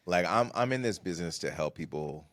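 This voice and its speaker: Deep-voiced male co-host, laid-back and slangy, very dry close sound. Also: fairly quick, no room sound. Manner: relaxed slangy talk, gets animated. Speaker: podcast co-host, content creator. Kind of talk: casual podcast chat.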